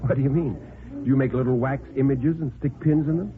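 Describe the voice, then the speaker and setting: A man's voice: mid-range voice, a little fast, pitched above the performer's own voice, close to an everyday voice. An American man voicing a gossipy, spiteful young society woman in a 1950s radio play, in a gushing, exclaiming way. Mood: curious, teasing. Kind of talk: 1950s radio drama acting. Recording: vintage radio recording, phone-like band, music behind.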